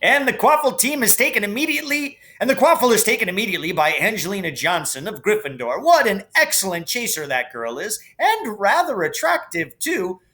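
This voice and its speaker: Man acting high-pitched, excitable young match commentator. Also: brisk, few pauses, heavily acted. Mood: excited. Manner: breathless, exclaiming, partisan.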